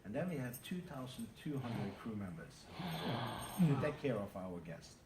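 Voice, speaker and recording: A Norwegian ship captain in his fifties, speaking in a measured, factual way with frequent pauses. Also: medium pitch, very animated. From Norway. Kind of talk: interview answers. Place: aboard a large cruise ship. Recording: roomy, distant, noisy ambience.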